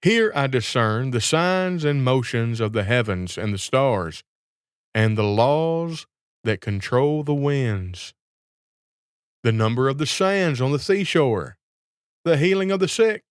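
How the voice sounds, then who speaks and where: A man's voice: mid-range voice, smooth, no room sound, studio quality. A man voicing a crafty fairy tale gardener who poses as a grand sage, proclaiming in archaic, grandiose speech.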